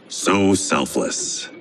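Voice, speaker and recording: A man's voice: sits low, a little hiss or hum, heavily acted. An actor playing a stern, sardonic, battle-hardened swordsman in a video game, dry and terse. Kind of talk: video game cutscene acting. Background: loud music.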